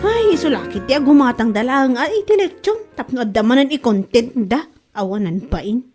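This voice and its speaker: A woman playing a high-voiced, showy Ilocano wife. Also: expressive, moderate pace, over music. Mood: boastful. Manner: bragging, exclamatory. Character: boastful, showy married woman flaunting her Christmas feast. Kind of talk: Ilocano comedy drama acting.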